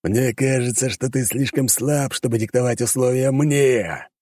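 A man voicing a huge, boastful male demon who roars his threats slowly and drags out his words. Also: mid-range voice, warm tone, no echo, clean studio sound, voice pushed up, lightly acted. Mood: menacing. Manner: slow, drawn-out threatening roar. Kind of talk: audiobook character voice.

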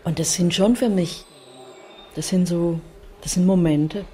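A woman's voice: lowish voice, fairly even intonation, a little slow, mellow. A woman reminiscing in conversation in a reflective way, searching for her words as she goes.

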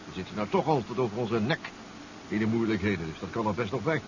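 A male actor voices a proud, spirited inventor-professor in a seventies sci-fi radio play. He is emphatic and swings widely in pitch. The sound is slightly muffled with faint noise. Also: animated, fast, natural voice. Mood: game, resigned. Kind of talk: 1970s Dutch radio drama acting. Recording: dull, faintly noisy radio play recording.

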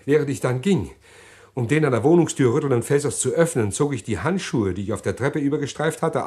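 A man acting in a German radio crime drama, playing a desperate, cold-blooded condemned killer who confesses in deliberate detail and slips into agitated pleading. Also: mid-range voice, a little mellow, close to an everyday voice.

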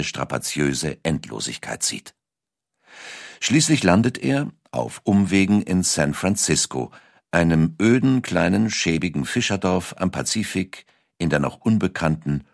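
A man narrating a scripted documentary story in a measured way, with dramatic emphasis. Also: deep voice, no echo, clean studio sound. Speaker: audiobook narrator.

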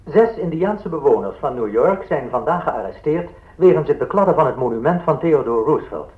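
An actor playing a formal newsreader in a radio play, delivering statements in a measured manner. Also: male voice, a little high, animated, natural voice. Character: formal male newsreader reporting a presidential statement. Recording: dull, lo-fi radio recording.

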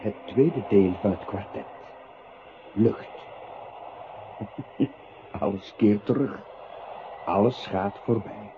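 An actor playing a brooding narrator of a troubled composer's story in a literary radio play. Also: male voice, on the low side, extremely slow, long pauses, noisy background. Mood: solemn. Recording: muffled old radio recording.

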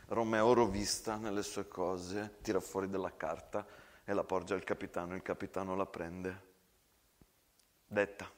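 A man narrating a theatre story deliberately, with dramatic pauses. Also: low-pitched. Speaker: actor and narrator. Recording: reverberant hall, music behind.

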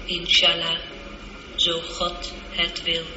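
A woman's voice: lowish voice, monotone, bright, forceful tone, full character voice. Echoing, polite female ship's computer. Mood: calm, matter-of-fact. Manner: measured, formal, precise delivery. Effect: reverberant computer voice effect. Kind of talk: science fiction radio drama acting. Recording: echoey, dull, lightly noisy radio recording.

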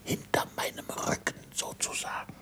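An older actor playing a weary, disgraced scientist in a German radio play, guarded and bitterly ironic, with a gravelly, often hushed voice. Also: male voice, normal speed, in a whisper, natural voice. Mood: hushed, confiding.

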